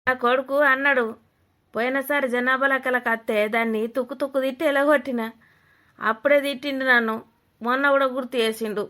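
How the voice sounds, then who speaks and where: A woman's voice: high voice, steady tone, quick pace, sharp tone. A woman playing a blunt, nosy older village mother-in-law with a Telangana Telugu accent, scolding and mocking in a comedy cartoon. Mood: chatty, bossy.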